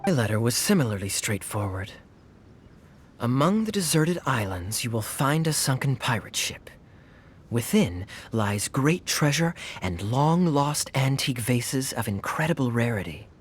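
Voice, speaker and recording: A man's voice: medium pitch, big pitch swings, a little slow, a little hiss or hum. A man voicing a suave, sly young knight captain in game cutscenes, with a wry, teasing way of talking. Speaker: game character. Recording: game audio with faint music.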